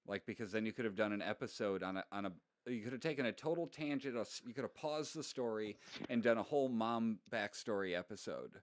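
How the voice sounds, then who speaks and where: A man in his forties with a lowish voice, musing about a film in relaxed chat and stopping to restart his thoughts. The sound is dry and close. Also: no room sound. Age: 40s. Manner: thoughtful, halting, restarts sentences. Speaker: podcast co-host. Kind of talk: casual movie discussion.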